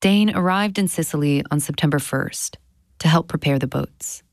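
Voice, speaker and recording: A woman's voice: deep voice, normal speed, vocal fry, dry recording, clean studio sound. A woman, around her thirties, narrating a scripted audio documentary as its host. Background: music bed.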